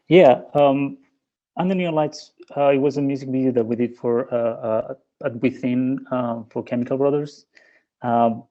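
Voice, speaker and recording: A man's voice: medium pitch, mellow, dull audio. A man from Spain, a guest in an interview, talking casually with many fillers and restarts.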